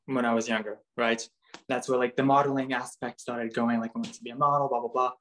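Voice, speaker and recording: A man's voice: medium pitch, little pitch movement, quick pace, a bit bright. A young man from Bulgaria, raised in Canada, chatting casually as an interview guest and filling his talk with "like" and "um". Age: young adult. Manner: chatty, many fillers like "like" and "um". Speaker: interview guest, model and dancer. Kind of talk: casual chat.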